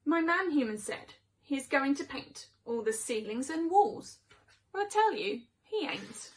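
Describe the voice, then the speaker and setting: Woman voicing a chatty, high-voiced storybook cat. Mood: puzzled. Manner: conversational, expressive rhyming delivery. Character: chatty, opinionated house cats gossiping about their humans.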